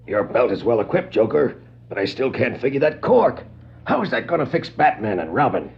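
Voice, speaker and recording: An actor playing an admiring, slow-witted gangster henchman with a gravelly voice in a 1960s children's record drama. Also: medium pitch, very animated. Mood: curious, admiring. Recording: muffled 1960s vinyl record.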